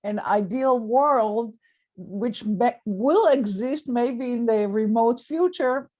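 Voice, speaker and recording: A woman with a voice a touch higher than average moderates a scholarly discussion. She speaks without a script, laying out ideas in an explanatory way and checking that they land. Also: takes it slowly, a little mellow, dull audio. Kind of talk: unscripted academic discussion.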